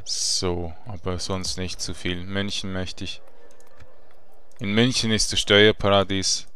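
A man giving relaxed, chatty gameplay commentary with slangy asides. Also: low-pitched, slow pace, smooth. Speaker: let's play gamer.

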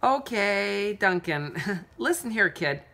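A woman's voice: medium pitch, expressive, slow, vocal fry, bright, forceful tone, pitched above the performer's own voice, full character voice. Woman as an indignant, sassy pink crayon. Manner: emphatic, scolding.

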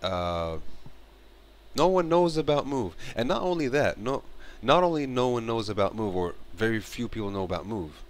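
A man's voice: mid-range voice, big pitch swings, moderate pace. A man in his thirties from Morocco, talking earnestly to camera on a headset mic in a bedroom, often repeating and restarting phrases. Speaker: vlogger. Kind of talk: casual spoken commentary to camera. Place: bedroom, small indoor room.

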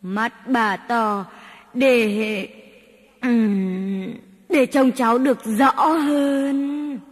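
A sly, wicked cartoon wolf, put on by a woman narrator, drawling slow, loud, honeyed words. The voice is high for a villain. It rings in a reverberant space with soft music underneath. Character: sly wicked wolf, coaxing and sweet-talking.

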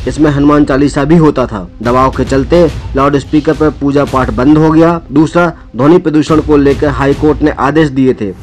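Male news narrator, medium pitch, scripted read over a music bed. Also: darkish tone. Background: background music.

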